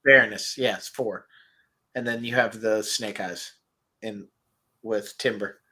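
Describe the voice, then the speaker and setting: A man hosting a relaxed stream, chatting and reading viewer comments, his voice nasal and stuffed up. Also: on the low side, slow pace, slight background noise. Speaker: host. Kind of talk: casual livestream chat about toys.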